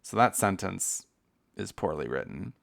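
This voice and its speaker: A man's voice: low-pitched, very animated, unhurried, gravelly, a bit bright. A man hosting a podcast, talking in a candid, profane and campy way with laughing asides. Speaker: podcast host. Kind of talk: casual solo podcast monologue.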